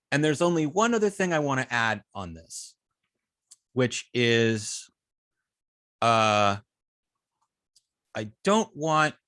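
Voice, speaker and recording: A man giving a live coding tutorial as an instructor, explaining step by step with halting phrasing, frequent ums and self-corrections. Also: mid-range voice, a bit bright, dry recording, studio quality. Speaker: web development instructor.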